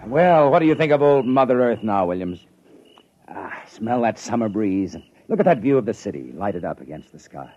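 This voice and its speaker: An actor playing a courteous, inquisitive spaceship captain in 1950s radio drama style. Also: male voice, fairly low pitch, sing-song, normal speed, darkish tone, dull audio. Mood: expansive. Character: spaceship captain, polite but probing middle-aged man. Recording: noisy vintage 1950s radio recording.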